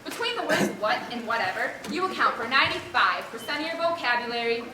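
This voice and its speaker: Condescending, pedantic young American woman played by a teenage girl, stage comedy; high voice, distant noisy auditorium recording. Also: big pitch swings, quick pace. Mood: scornful. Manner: precise, sarcastic, condescending. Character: snobbish exasperated young woman theatergoer, pedantic about words. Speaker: high school actor. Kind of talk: live amateur stage acting. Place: theater stage, indoors. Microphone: far camera in the audience. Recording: echoey auditorium from far off, noisy audience.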